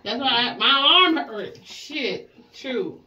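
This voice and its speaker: Casual vlog mom: American woman, blunt, animated delivery, slightly dull sound from a step away. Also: on the high side, takes it slowly, distant mic. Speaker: mother styling her daughter's hair on a family vlog. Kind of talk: casual chat. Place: small indoor room at home. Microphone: camera or phone a step away. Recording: muffled, noisy, echoey room, off mic.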